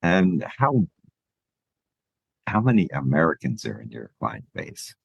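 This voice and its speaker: A male podcast host with a very deep, gravelly, slightly husky voice. He asks questions in a lively, up-and-down way, breaks off and restarts, and tosses in joking asides, all recorded close and dry. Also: measured pace, no echo, lo-fi sound. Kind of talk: conversational interview.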